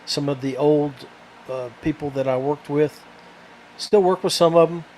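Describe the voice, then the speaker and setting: A man hosting a podcast alone in casual, rambling chat, often halting and repeating words. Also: on the high side, mellow, poor audio. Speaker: podcast host. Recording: clear background noise.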